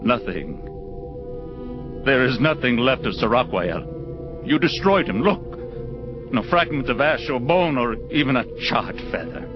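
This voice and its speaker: An actor playing an anguished, proud angel who questions divine justice, speaking solemnly and formally with passion and a creaky edge. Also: male voice, medium pitch, lively intonation, a little slow, long pauses, natural voice. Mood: stunned, bitter. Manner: impassioned, solemn, formal diction. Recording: dull lo-fi audio under loud music.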